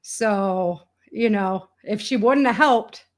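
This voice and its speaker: An American woman speaks as a guest in a casual conversation. She tells stories candidly, in everyday slang, often quoting people as she goes. Her voice sits at a middle pitch. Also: slow pace. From rural United States. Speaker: guest, indie author and entrepreneur.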